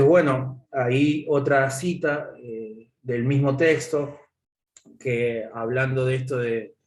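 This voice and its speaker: A man giving a class presentation over a video call, halting and full of filler pauses. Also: medium pitch, darkish tone. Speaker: student presenter. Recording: echoey room audio.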